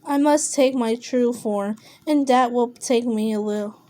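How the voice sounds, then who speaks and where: An ancient, solemn storybook creature read by a woman. It speaks slowly in a high voice, making grave, measured pronouncements with halting breaks. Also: dark tone, natural voice. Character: ancient, solemn watcher disguised as a Tasmanian devil.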